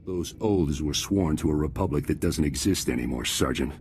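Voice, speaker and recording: An actor playing a grave, disillusioned military commander in a video game, speaking in a solemn, measured, formal way. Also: male voice, rumbling low, darkish tone, a little hiss or hum. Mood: bitter resolve. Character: disillusioned male traitor commander, grave and honorable soldier. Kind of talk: video game cutscene acting.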